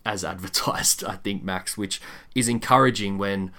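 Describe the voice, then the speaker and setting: A man's voice: lowish voice, moderate pace, sharp tone. A man hosting a sports podcast, discussing basketball in a casual, conversational way.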